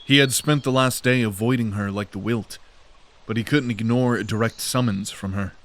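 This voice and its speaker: A man narrating a fantasy audio drama in a measured, steady storytelling manner. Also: on the low side.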